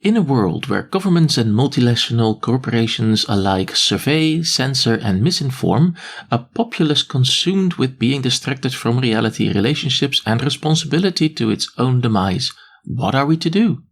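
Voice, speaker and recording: A husky-voiced man moderating, reading prepared audience questions aloud. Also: mid-range voice, lively intonation, moderate pace.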